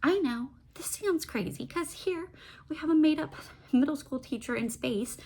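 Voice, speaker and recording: Creaky-voiced woman chatting casually about books. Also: high-pitched, expressive, normal speed, a little mellow, a little hiss or hum. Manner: rambling, self-interrupting, asks herself questions. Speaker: book reviewer and vlogger. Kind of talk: casual book-talk vlog, unscripted chat.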